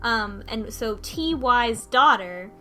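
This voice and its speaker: A woman talking casually in a group discussion, recapping a game in a halting way and often restarting mid-thought. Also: on the high side, lively intonation, sharp tone, faint music behind. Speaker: podcast host recapping a visual novel.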